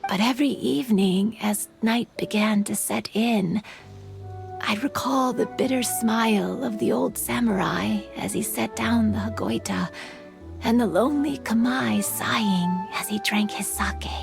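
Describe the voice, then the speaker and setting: A woman playing a gentle, wistful young female spirit in human form in an anime game, speaking softly, hesitantly and sincerely. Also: fairly high pitch. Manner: soft, hesitant, sincere, with breathy pauses. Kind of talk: anime game voice acting, dramatic monologue. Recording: soft music behind the voice.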